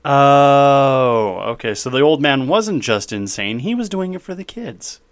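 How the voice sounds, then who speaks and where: Playful man with a lively voice. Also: medium pitch. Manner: playful, quick to joke. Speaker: podcast host. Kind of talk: casual chat.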